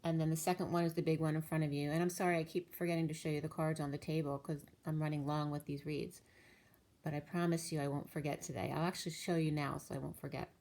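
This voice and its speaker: Woman doing a tarot read, kinda low voice, losing it, rambles on and on. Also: flat delivery, fast talker, a little mellow. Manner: rambling, stream-of-thought explanations. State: losing her voice. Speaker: tarot reader. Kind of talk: casual spiritual reading talk.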